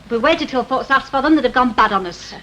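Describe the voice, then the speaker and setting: An actress playing a chatty, eager new corner shop owner with a Northern English accent in a 1960s TV drama. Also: female voice, high voice, lively intonation, quick pace, a bit bright. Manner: keen, chatty. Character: chatty, eager female newcomer taking over a corner shop. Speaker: actress in a TV soap. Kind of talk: 1960s British TV drama acting. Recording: faintly noisy old 1960 TV soundtrack.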